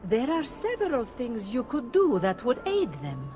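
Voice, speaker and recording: A woman's voice: on the high side, huge pitch swings, measured pace, music in the background. An actress playing a concerned, practical woman in a dramatic video game scene.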